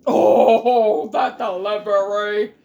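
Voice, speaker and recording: A woman's voice: mid-range voice, fairly even intonation, extremely slow, vocal fry, dark tone. A woman hosting a let's play, giving casual reaction commentary in short, hesitant asides.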